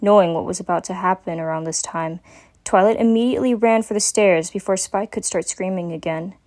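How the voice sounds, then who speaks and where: A woman's voice: fairly low pitch, fairly quick, a little mellow. Woman narrating a story. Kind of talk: dramatic story reading, scripted narration.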